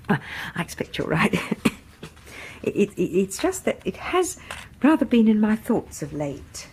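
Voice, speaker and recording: A timid English spinster of middle age in a radio chiller. Her voice is very breathy and lilts up and down freely. She is deferential and flustered, halting as she apologises, yet eager to please. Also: medium pitch, big pitch swings, darkish tone, exaggerated voice. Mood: shy, eager. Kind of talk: radio horror drama acting.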